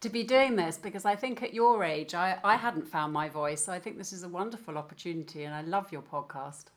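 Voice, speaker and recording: Enthusiastic middle-aged woman, low voice. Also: fairly quick. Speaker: guest, company founder. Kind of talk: interview answers.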